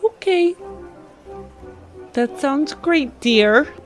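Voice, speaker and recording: Slow, high-voiced woman playing tabletop roleplay. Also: expressive, soft background music. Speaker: tabletop game player. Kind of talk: improvised in-character roleplay.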